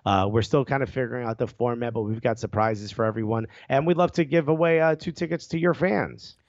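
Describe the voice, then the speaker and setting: A man's voice: medium pitch, a little fast, darkish tone, no echo, dull audio. A man chatting as a podcast guest, laughing often between jokes. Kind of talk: casual podcast chat.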